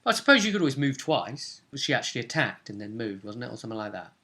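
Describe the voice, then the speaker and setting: A man with a bright, forward voice at a middling pitch, working as game master. He recaps a role-play session conversationally, explaining the rules and moves as he goes. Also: very animated, fast. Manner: conversational, explanatory, acting out characters' lines.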